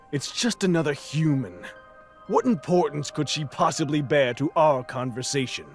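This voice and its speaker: A man's voice: a little high, measured pace. An actor playing a haughty, scornful male spirit in a visual novel, speaking with cold, imperious disdain. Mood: dismissive. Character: haughty, scornful dark-haired male spirit, impatient brother. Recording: music behind the voice. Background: game music.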